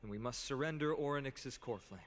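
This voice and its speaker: An actor playing a kind, earnest young male hero in a game, speaking calmly and reassuringly. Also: medium pitch, brisk, a little hiss or hum, lightly acted. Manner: calm, reassuring.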